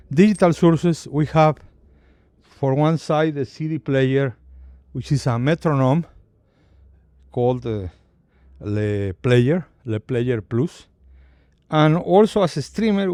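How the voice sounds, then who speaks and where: Slow, halting man with non-native English accent. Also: mid-range voice, mellow, dry recording, clean studio sound. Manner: halting, searching for words. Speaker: company CEO presenting products. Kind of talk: unscripted product presentation.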